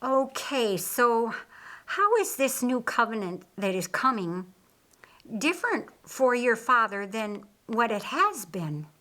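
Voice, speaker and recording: A thoughtful man from a storybook, read by a woman. He asks his questions slowly and earnestly, in a bright tone, as if working through a puzzle. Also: a little high, lively intonation, full character voice. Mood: pensive, curious. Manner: pondering, questioning.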